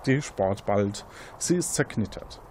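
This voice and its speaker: A man's voice: on the low side, expressive, unhurried, a little mellow, slight background noise. A man hosting as game master, narrating an interactive detective game in a conversational, improvised manner.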